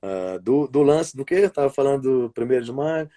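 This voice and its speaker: A man's voice: medium pitch, lively intonation, normal speed, dark tone. A Brazilian man, a wrestling commentator, chatting casually over a video call, rambling and often restarting his sentences. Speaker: wrestling commentator and play-by-play announcer. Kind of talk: casual interview chat over a live stream.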